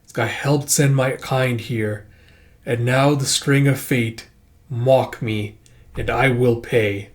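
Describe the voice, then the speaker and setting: A man's voice: medium pitch, fairly even intonation, natural voice. A man performs a solemn otherworldly warrior of a fantasy comic. He is proud and archaic in his speech. Every phrase comes out portentous, with dread beneath it. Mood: grave dread. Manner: slow, formal, portentous.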